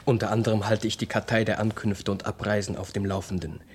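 An actor playing a dutiful, matter-of-fact police inspector in a radio crime drama, official and obliging. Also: male voice, fairly low pitch, flat delivery, fast talker, slight background noise, natural voice. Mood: businesslike.

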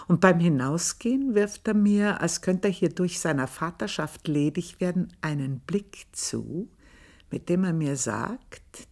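An actress playing an aging country maidservant in a radio play, telling her story in a mocking, confiding way and often dropping to a hushed whisper. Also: deep voice, heavily acted. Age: older. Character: aging country maidservant, sly, scornful, knowing.

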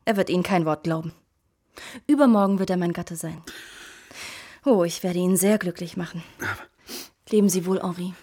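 Actress as a breathy, scheming society seductress. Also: female voice, on the low side, normal speed, pauses often, warm tone, lightly acted. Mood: cool, scornful. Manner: haughty, theatrical, calculating. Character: scheming, seductive society woman, coolly jealous mistress. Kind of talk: German radio drama acting.

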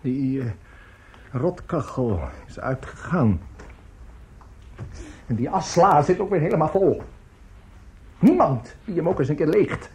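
An actor playing a bitter, self-pitying, tipsy middle-aged conductor in a radio play, husky and halting as he grumbles. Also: fairly high pitch, lively intonation, a little mellow, full character voice. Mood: weary, grumbling. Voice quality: husky, rough. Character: bitter, drunken, self-pitying provincial conductor nearing fifty.

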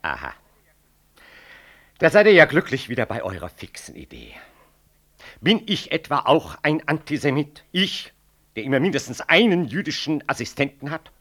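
An actor playing a pompous, easily offended middle-aged professor in a radio play, haughty and condescending. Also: male voice, fairly high pitch, very animated, moderate pace, pauses often, fairly bright tone, full character voice. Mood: indignant. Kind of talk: 1960s German-language radio play acting.

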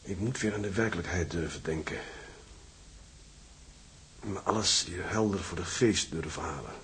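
An actor playing a broken, brooding imprisoned sea captain in a Dutch audio drama, halting and haunted, repeating himself. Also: male voice, low-pitched, fairly bright tone, slight background noise. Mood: anguished, brooding.

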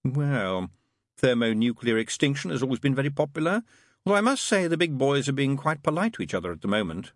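A man's voice: mid-range voice, sing-song, brisk, no room sound, studio quality, pitched above the performer's own voice. A man voicing a worldly, world-weary demon in an audiobook, with a sarcastic, offhand, drawling manner. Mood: sardonic.

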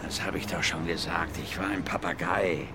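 An actor playing a gruff, blunt violent brawler in his fifties under questioning in a radio drama, plain-spoken and repetitive. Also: deep voice, fairly even intonation, normal speed, background noise. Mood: defensive, earnest. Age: 50s. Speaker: suspect being questioned.

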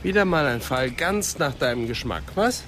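Sarcastic police assistant played by a man, mid-pitched. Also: animated, normal speed, fairly bright tone, slight background noise, close to an everyday voice. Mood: mocking. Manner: sarcastic, teasing. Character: flippant, sceptical male police assistant, scornful of psychoanalysts. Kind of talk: German radio crime drama acting.

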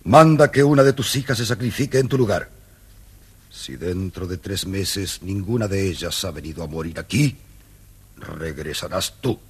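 An actor playing a monstrous, lonely beast who rules a castle, speaking slowly and gravely in an old children's audio story. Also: male voice, lively intonation, full character voice. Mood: menacing. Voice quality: very deep and husky. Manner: slow, grave, commanding delivery.

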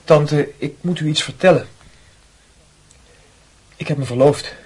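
A dutiful young nephew in a radio drama. He sounds polite and earnest, with a slightly high, breathy and very husky voice. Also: measured pace, a little mellow. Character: dutiful young nephew confessing to his aunt.

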